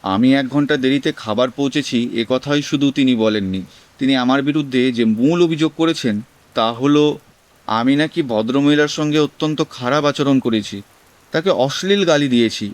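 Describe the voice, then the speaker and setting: A man acting as an earnest food delivery rider in his twenties with a clear, resonant voice. He speaks in a polite, pleading way, explaining his troubles as in a radio play. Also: mid-range voice, brisk, close to an everyday voice. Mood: pleading, aggrieved. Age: 20s. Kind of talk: audio drama acting.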